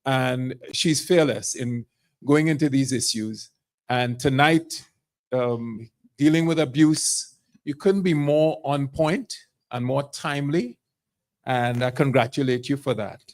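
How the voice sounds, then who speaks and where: A man and career diplomat giving a keynote speech at a charity evening, speaking in a halting way with repeated words. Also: mid-range voice. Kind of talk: speech at an event.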